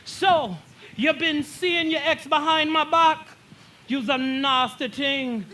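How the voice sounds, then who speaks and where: A man's voice: extremely high pitch, fairly even intonation, slow pace, bright, forceful tone, a little hiss or hum, heavily acted. A man voicing an angry, jealous boyfriend with a Jamaican accent in a confrontational stage monologue. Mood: accusing. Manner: confrontational, indignant.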